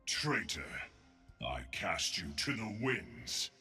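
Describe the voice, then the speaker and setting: A man voicing a proud, commanding bird emperor of the desert in a video game, declaiming in a stately, pompous way with a raspy voice. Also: sits low, sing-song, takes it slowly, pushed and bright, soft background music, exaggerated voice. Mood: scornful menace. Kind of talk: video game character voice lines.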